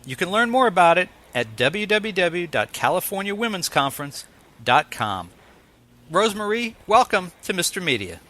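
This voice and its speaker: A man's voice: sits high, big pitch swings, normal speed, bright, forceful tone. A man hosting an interview show, moving between scripted announcements and conversational questions. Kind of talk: scripted introduction and ads, then interview chat.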